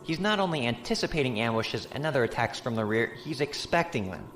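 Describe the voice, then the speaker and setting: An actor playing a candid, informal tactical analyst in a science fiction audio drama, explaining things casually. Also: male voice, mid-range voice, expressive, brisk, fairly bright tone, a little hiss or hum, lightly acted. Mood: candid, explanatory. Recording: echoey room, faint background ambience.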